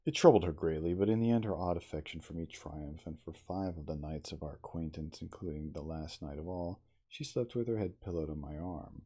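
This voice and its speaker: A man reading literary fiction aloud in a measured, theatrical, formal storytelling manner, in an ASMR style. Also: deep voice, warm tone, breathy edge. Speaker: audiobook-style reader.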